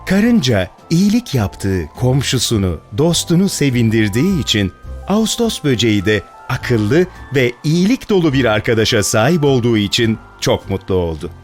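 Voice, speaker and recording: A male storyteller reads a children's fairy tale with a lively, swooping delivery. His voice is mid-pitched, and music plays clearly behind him. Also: normal speed. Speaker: storyteller, narrator.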